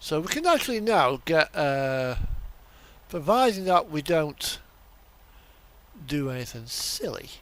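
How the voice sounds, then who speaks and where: A man's voice: on the high side, sing-song, measured pace, fairly bright tone. A man giving casual, rambling game commentary, thinking aloud and trailing off as he plays. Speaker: streamer playing a truck simulator.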